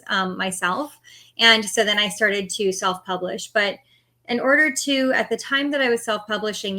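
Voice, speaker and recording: Middle-aged woman author, bright voice, chatty and open, laughs a lot. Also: medium pitch. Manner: candid, laughs easily. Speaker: author interview guest. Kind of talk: casual interview answers.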